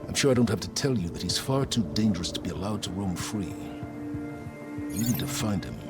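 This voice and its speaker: Older male scholar-strategist for a cinematic game: low, formal, measured, coolly calculating, over a music bed. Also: lively intonation. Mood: grave, measured. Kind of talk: cinematic video game dialogue.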